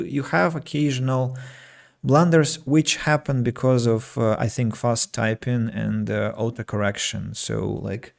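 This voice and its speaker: Guy teaching english, gravelly fry voice, thinking out loud with lots of ums. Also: medium pitch. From Russia. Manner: reflective, reads listener comments aloud, frequent fillers and restarts. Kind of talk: solo podcast talk.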